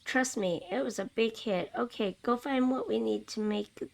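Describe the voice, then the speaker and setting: A woman's voice: fairly high pitch, moderate pace, vocal fry. A woman reading as a smug, boastful male demigod. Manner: bragging, smug, playful. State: slightly rough voice. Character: boastful, cocky male demigod, self-admiring and jovial.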